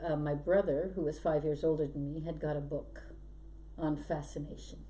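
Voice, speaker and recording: A woman's voice: low voice, fairly even intonation, moderate pace, dark tone. A middle-aged woman, born in Belgium and raised in Canada, chatting as a podcast guest and telling stories about her life. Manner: chatty and storytelling, laughs often. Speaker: hypnosis trainer, podcast guest. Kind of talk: casual interview chat. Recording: faint background noise.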